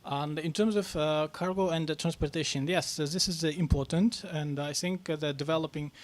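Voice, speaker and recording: Man, panel speaker, slightly high voice, halting with fillers. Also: fairly even intonation. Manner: halting, many fillers and restarts. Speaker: panel speaker on national reforms. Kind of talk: press club panel talk.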